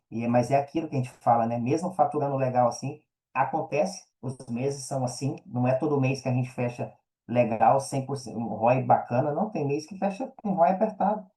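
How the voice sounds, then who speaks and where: Brazilian male podcast guest: soft-edged, mellow tone, colloquial, earnest, repeats himself to stress a point. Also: mid-range voice, normal speed, rough recording. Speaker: podcast guest, affiliate marketer and mentor. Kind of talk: casual podcast conversation.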